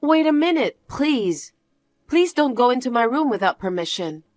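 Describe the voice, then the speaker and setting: Woman reading as a young wife pushing back, lively up-and-down voice, dry mic. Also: on the high side, moderate pace, no room sound. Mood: protesting. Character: young working wife, composed but wronged. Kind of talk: one-voice drama reading.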